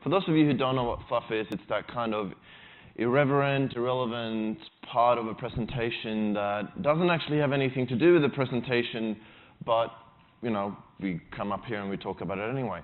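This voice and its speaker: A man's voice: medium pitch, a little fast, phone-quality sound. A man from Sweden with a Swedish accent, giving a conversational, self-deprecating tech conference talk on stage. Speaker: conference speaker.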